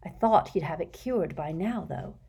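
A woman's voice: on the low side, lively intonation, moderate pace, vocal fry, warm tone, husky, heavily acted. A woman voicing a troubled teenage schoolboy hero in a storybook, plain and earnest. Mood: hushed, serious.

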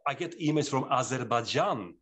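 A middle-aged man with a Swedish accent speaks in an interview, earnest and animated. He often restarts his sentences as he tells stories. His voice sits at an ordinary middle pitch for a man. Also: moderate pace. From Sweden. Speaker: author guest. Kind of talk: interview conversation.

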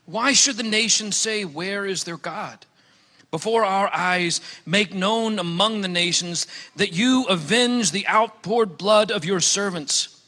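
Guy with a bright high voice doing a solemn bible reading in a big echoey hall. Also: takes it slowly. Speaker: scripture reader. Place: large hall, church service. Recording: echoey hall with long reverb.

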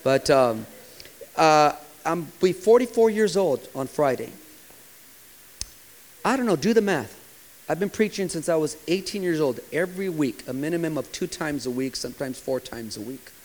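A man in his forties, a pastor preaching a sermon with impassioned delivery that sometimes drops to a hushed, quiet tone. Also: on the high side, animated, moderate pace, rough recording. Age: 40s.

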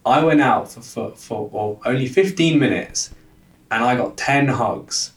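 A man's voice: mid-range voice, unhurried. Young man, stutter with lots of repeats, recorded off-mic in an echoey room. Guest coach telling stories. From lives in London. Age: young adult. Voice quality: stutter, frequent word repetitions. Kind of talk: casual interview talk. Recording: roomy, distant from the mic.

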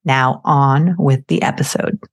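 Woman host with a deep voice, earnest and encouraging. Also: steady tone, a little slow. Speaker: podcast host. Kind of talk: podcast host intro and interview.

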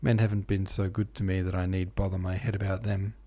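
A man's voice: sits low, flat delivery, a little fast, dark tone. A man reading the lines of a coarse, defensive young woman who snaps back defiantly, in a storybook reading. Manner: rough, defiant retorts.

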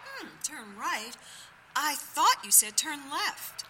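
Very high, bewildered, petulant driver. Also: female voice, big pitch swings, takes it slowly, sharp tone, a little hiss or hum, full character voice, low-quality recording. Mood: confused. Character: ditzy, confused, petulant woman driver. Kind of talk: live audio theater comedy acting.

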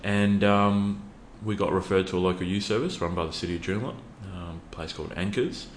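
A laid-back Australian man with a very low voice, chatting as a podcast guest with many ums and pauses. His speech echoes around the room, with a faint hiss underneath. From Western Australia. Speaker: podcast guest, youth worker. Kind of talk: casual interview talk.

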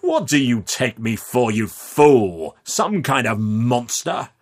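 Guy doing a posh menacing older lord for an audiobook, low n husky, crisp, bossy, talks down to you. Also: expressive, moderate pace. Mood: scornful exasperation. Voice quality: gravelly edge. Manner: crisp, commanding, scornful. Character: cold, commanding, menacing aristocratic older man, crisply condescending.

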